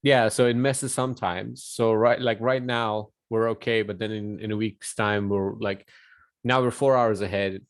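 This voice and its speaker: A man's voice: lowish voice, fairly even intonation, moderate pace, no echo. A man chatting casually as a podcast guest, joking and often correcting himself as he goes. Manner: hesitant, self-correcting, joking, often says "like". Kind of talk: casual chat.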